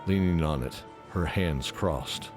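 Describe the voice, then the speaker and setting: A man's voice: extremely low pitch, slow. A man narrating a scripted fantasy story as the narrator of an audio drama. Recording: music under the voice.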